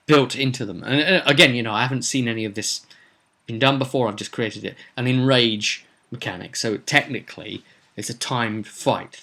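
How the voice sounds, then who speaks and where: A man's voice: mid-range voice, fairly bright tone. A man talking casually as a game master, recapping a roleplay session in a rambling, conversational way with some dramatic emphasis. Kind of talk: casual vlog recap to viewers.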